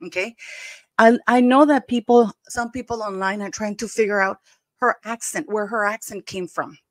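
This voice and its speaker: A woman's voice: mid-range voice, dry recording. A woman with a slight Spanish accent, hosting opinionated casual commentary in an emphatic, indignant way, often repeating words for stress. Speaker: commentary channel host.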